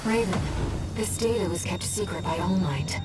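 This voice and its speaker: A woman's voice: moderate pace, lightly acted. A woman playing an earnest, thoughtful support operator who advises a mercenary pilot in a video game. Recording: distant-sounding voice under loud game music. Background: loud music, machine rumble.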